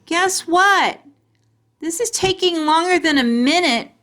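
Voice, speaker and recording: A woman voicing an excitable, sassy little kindergarten girl from a storybook, with childish, emphatic kid talk. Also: extremely high pitch, slow, pitched above the performer's own voice, full character voice. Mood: impatient. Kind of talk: children's storybook character reading.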